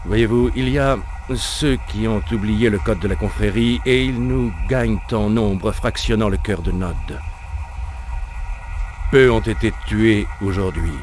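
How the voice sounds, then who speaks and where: Stern, commanding militant leader. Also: male voice, lowish voice, noisy background. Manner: authoritative, solemn, dramatic. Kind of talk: video game cutscene dialogue.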